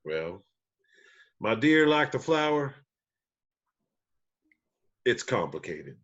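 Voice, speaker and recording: A man's voice: mid-range voice, very animated, slow, fairly bright tone, dull audio. A man playing a weathered, wry, guarded older country bandleader, folksy and wistful, in a screenplay table read.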